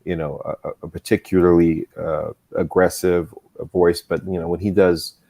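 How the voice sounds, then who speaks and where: Male co-host, low and mellow, loose rambling chat. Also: flat delivery, creaky. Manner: unhurried, rambling, many fillers. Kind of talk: casual chat.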